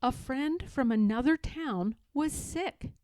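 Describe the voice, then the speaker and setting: A woman reading a children's story aloud in a dramatic, deliberate way, stressing key words. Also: high voice, lively intonation, a little mellow, no room sound. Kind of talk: children's read-aloud narration.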